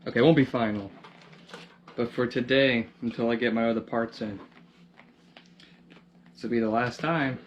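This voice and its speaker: Guy doing a diy vlog, mid pitched voice, kinda mumbling to himself while he works, muffled noisy audio. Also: steady tone, normal speed, long pauses. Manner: muttering to himself, thinking aloud in fragments. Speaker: DIY vlogger. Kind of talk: casual hands-on commentary while working. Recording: noisy, dull lo-fi camera audio with clicks.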